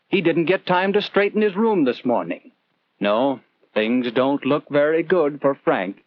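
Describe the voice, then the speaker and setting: A man's voice: on the high side, no room sound, lo-fi sound. A man narrating a scripted 1940s instructional film in an earnest, conversational storytelling manner, putting questions to the viewer, on an old film soundtrack.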